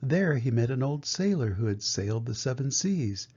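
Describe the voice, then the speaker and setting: A man's voice: mid-range voice, sing-song, normal speed, a little mellow, husky. An older man reading a children's picture book aloud as a grandfather, in storybook narration style. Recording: dull lo-fi home recording.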